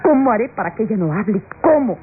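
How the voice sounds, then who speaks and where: Actress as scheming murderous niece, tinny old radio. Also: female voice, medium pitch, animated, quick pace, lightly acted. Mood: desperate, menacing. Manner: melodramatic, talking to herself. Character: scheming, desperate murderous niece plotting to silence her aunt. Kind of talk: classic radio soap acting. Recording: tinny old radio recording, music behind.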